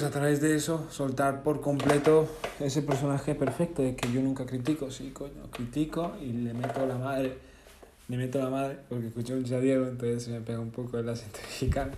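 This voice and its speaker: Young man, reflective monologue, mellow dark tone, roomy echo. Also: medium pitch, a little hiss or hum. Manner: casual and earnest, with self-corrections and tag questions. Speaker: vlogger.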